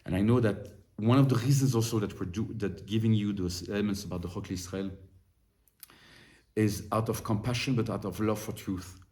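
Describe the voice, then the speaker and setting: A man teaching a study group on a religious text in an informal lesson. He often restarts and repeats words, then turns more animated as he works through a passage. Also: lowish voice. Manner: halting, restarts and repeats words while explaining. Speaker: Torah teacher.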